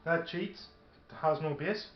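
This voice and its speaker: A man's voice: fairly high pitch, measured pace. A man chatting casually as he plays a tabletop wargame. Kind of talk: casual game-table chat. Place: indoor room at a gaming table. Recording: dull, echoey room recording with background noise. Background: faint background noise, radio playing.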